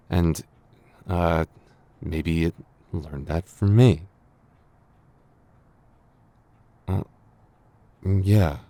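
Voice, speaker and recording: A man's voice: sits low, no echo. A man playing a bashful, defensive young man in a boyfriend ASMR roleplay, stammering and hesitant as he talks. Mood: shy, teasing. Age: young adult. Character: flustered, bashful, tsundere young man, friend's younger brother and crush.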